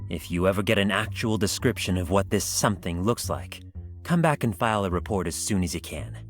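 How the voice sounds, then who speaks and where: A woman voicing a weary, gruff male police captain in a game, grumbling and matter-of-fact. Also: extremely low pitch, expressive, brisk, faint music behind, pitched below the performer's own voice. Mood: reassuring, firm.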